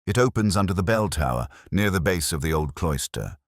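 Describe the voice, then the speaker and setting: A man's voice: sits low, expressive, brisk, dry recording, clean studio sound. A man voicing an exhausted, frightened foundry worker in an audio story, earnest and uneasy.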